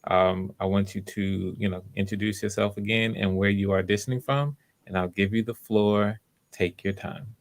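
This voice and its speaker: A man's voice: on the low side, fairly even intonation, moderate pace. A man hosting live auditions in a casual, encouraging and reassuring way. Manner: supportive, reassuring. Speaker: host running live auditions.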